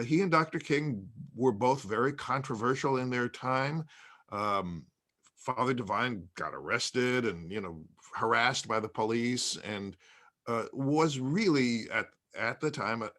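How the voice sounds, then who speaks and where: A man in a conversational panel discussion, explaining things in halting phrases with pauses. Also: medium pitch, fairly bright tone. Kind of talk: conversational discussion of old radio.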